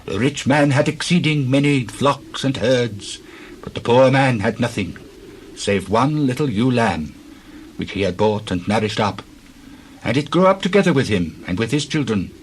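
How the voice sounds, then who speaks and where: A man playing a solemn prophet who tells a parable in grave, deliberate scripture-drama style. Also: medium pitch, expressive, slight background noise, lightly acted, rough recording. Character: solemn male prophet telling a parable to a king.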